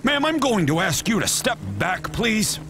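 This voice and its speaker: A man playing a dim, officious city policeman in a video game, stubborn and slow on the uptake. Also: sits high, very animated, fairly bright tone, faint music behind, exaggerated voice. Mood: puzzled. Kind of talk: scripted game acting.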